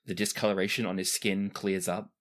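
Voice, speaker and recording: A man's voice: low-pitched, flat delivery, a little fast, a bit bright. A man acting as game master, improvising descriptive narration for a tabletop game with casual asides.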